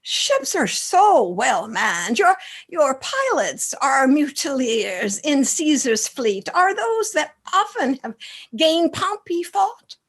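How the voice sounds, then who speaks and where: A loyal, anxious officer played by a woman declaims earnestly in Shakespearean style. The voice is high and the pace slow, as in a staged radio play. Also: very animated, exaggerated voice. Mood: urging. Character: loyal, worried male military officer advising his general.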